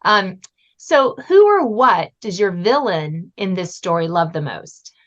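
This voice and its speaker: A woman's voice: lowish voice, very animated, unhurried. A woman hosting a podcast, speaking in a conversational interview style. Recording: muffled, band-limited audio.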